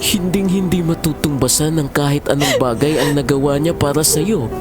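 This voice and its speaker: A man's voice: a little high, moderate pace, close to an everyday voice. A man playing an exasperated but loving widowed father in an audio drama, earnest, with a Filipino accent. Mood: pleading. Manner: earnest, mixes English into his talk. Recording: music bed under the voice.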